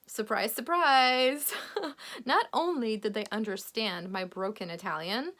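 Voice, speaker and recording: A woman's voice: a little high, unhurried, fairly bright tone. A young woman from Poland, a native Polish speaker, giving a casual, encouraging English lesson to camera, laughing often. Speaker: English coach.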